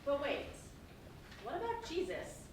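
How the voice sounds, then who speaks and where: A woman's voice: high voice, wildly swooping pitch, measured pace, full character voice. A woman playing an earnest, hesitant young fisherman's partner in plain stage dialogue. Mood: doubtful. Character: Simon's hesitant, devoted fishing partner attached to mother. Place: live staged reading in a reverberant room. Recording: echoey hall from far off, clear noise.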